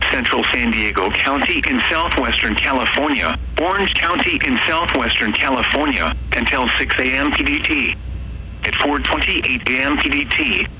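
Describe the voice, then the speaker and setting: A man's computerized voice reading emergency weather warnings in a flat, even delivery. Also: mid-range voice. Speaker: automated weather radio announcer. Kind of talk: synthetic emergency broadcast reading. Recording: tinny radio band with faint hum.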